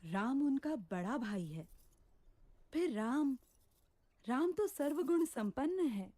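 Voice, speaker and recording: Queen played by a woman, cartoon epic: high but mellow, slow, courtly and emphatic. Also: lively intonation, dry recording, heavily acted. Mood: approving, assured. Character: confident, approving queen, wife of the king. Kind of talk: mythological cartoon dialogue.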